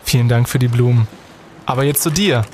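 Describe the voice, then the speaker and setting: A man's voice: mid-range voice, fairly quick, darkish tone, slight background noise, close to an everyday voice. A young man playing a brainy, self-important student detective in an audio drama, speaking emphatically. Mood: proud, welcoming. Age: young adult. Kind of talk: German audio drama in youth detective style.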